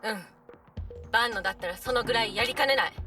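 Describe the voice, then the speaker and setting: High, bright, excitable ally. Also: female voice, fast, distant mic, faint music behind. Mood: thoughtful. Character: excitable, concerned female ally of the hero. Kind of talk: Japanese audio drama acting.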